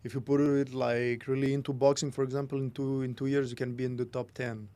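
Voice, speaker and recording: A man's voice: mid-range voice, flat delivery, quick pace, warm tone. A young man from France with a French accent, a guest in casual chat, speaking in simple phrasing with non-native grammar. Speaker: podcast guest.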